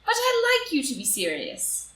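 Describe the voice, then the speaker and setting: A woman playing an upright, devoted, morally strict society wife in a live reading of a stage comedy, in a formal, deliberate way. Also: extremely high pitch, huge pitch swings, a bit bright, heavily acted. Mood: puzzled. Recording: roomy, distant, noisy live group recording.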